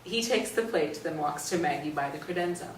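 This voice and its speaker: A woman with a fairly low voice narrates stage directions in a scripted reading. She is recorded from a distance in a roomy space, with faint noise around her. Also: a little fast.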